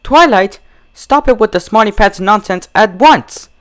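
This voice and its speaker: A man's voice: animated, measured pace. A man voicing a refined, dramatic lady pony in a storybook reading, with a genteel, theatrical manner. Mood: indignant alarm. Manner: genteel, theatrical, high-pitched.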